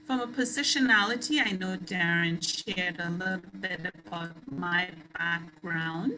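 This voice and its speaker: A woman from Trinidad and Tobago, giving an academic lecture as a guest speaker in a deliberate, emphatic way. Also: fairly low pitch, sharp tone, low-quality recording.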